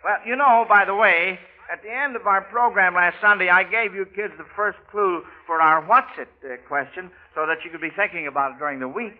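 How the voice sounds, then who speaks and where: High-voiced American male quiz host, chatty and encouraging, 1940s radio style, narrow vintage broadcast sound. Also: brisk, few pauses. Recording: old narrow-band radio recording.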